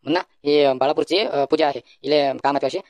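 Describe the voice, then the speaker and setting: A man's voice: on the high side, steady tone, fairly quick, a little mellow, dry recording, close to an everyday voice. A man voicing a confident, take-charge young village friend in a comedy cartoon, colloquial, with a Varhadi Marathi accent. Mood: earnest, persuading.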